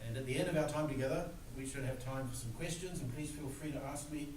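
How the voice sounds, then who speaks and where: An older man with a New Zealand accent giving a relaxed, joking lecture to students, with plenty of "uh" fillers. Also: on the high side, quick pace. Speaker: guest speaker. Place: large room. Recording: echoey room recorded from far off, noisy.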